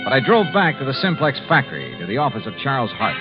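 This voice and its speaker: An American actor playing a brisk, sharp-minded insurance investigator in 1950s radio drama, clipped, probing and assured. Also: male voice, mid-range voice, huge pitch swings, over music. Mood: sober narration. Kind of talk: 1950s radio drama acting and first-person narration. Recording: dull 1950s radio recording.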